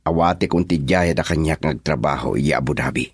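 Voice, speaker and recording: A man playing a lovelorn young man in a radio drama, speaking softly with a yearning tone. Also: extremely low pitch, a little fast, breathy edge, no room sound, clean studio sound, heavily acted. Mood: earnest. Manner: soft, hushed, yearning delivery. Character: lovelorn young man pining for his sweetheart.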